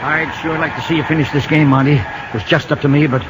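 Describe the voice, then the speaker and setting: An actor playing a concerned, supportive baseball coach, brisk and earnest, in a 1950s radio drama. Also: male voice, on the high side, steady tone, close to an everyday voice. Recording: noisy, muffled old radio recording.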